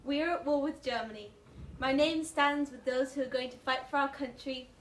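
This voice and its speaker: A woman's voice: high-pitched, fairly even intonation, moderate pace, close to an everyday voice. A woman performing a fervent patriotic English soldier in a declamatory slam poetry recital. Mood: proud, stirred. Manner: declamatory, impassioned. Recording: noisy, echoey room, mic far off.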